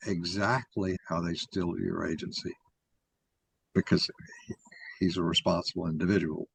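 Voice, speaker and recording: A man's voice: low voice, creaky, husky, dry recording. A man giving an explanatory talk in an opinionated, didactic way, taking questions as he presents his argument.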